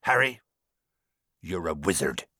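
A man voicing a huge, warm-hearted but quick-tempered giant in a storybook, gruff and booming, with blunt rustic speech. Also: big pitch swings, no room sound, clean studio sound, heavily acted. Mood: proud, jolly. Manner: rustic dialect, blunt and emphatic.

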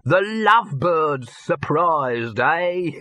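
A man plays a hustling showman boss in a storybook. The voice is raised high and sounds bright and forceful. The patter is clipped and wheedling, that of a pushy haggler always selling something, in a close, dry recording. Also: animated, extremely slow, no echo, exaggerated voice. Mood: gleeful. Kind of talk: audiobook character voice.

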